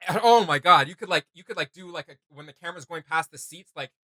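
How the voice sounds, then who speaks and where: Male reaction host, slightly high and very bright voice, enthusiastic, rambling casual delivery. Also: fast talker, no room sound. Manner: enthusiastic, rambling, thinking aloud.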